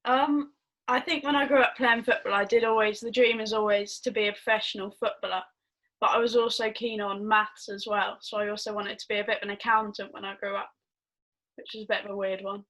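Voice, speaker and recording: A woman's voice: medium pitch, little pitch movement, fairly quick, fairly bright tone, low-quality recording. A young woman, a footballer giving modest, brief interview answers on a call. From Somerset, England. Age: young adult. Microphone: video call.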